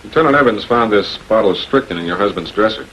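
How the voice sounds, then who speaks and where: Public prosecutor, 1940s crime drama. Measured, authoritative, quietly persistent. Faint film noise. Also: male voice, on the low side, animated. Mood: firm, composed. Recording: noisy old 1940s film soundtrack.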